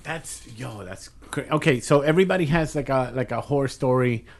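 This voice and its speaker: A man's voice: medium pitch, fairly quick, gravelly. A man who is a stand-up comic, hosting a casual interview with a playful, joking manner. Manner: playful, jokey, puts on bits. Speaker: podcast host, stand-up comic.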